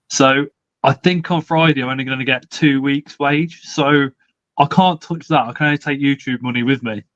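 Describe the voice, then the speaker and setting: A man's voice: mid-range voice, a bit bright, no room sound. Man, forties, chatty stream host, dry muffled sound. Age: 40s. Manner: chatty, swears casually, laughs often. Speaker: livestream host. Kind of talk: casual live chat, reading comments.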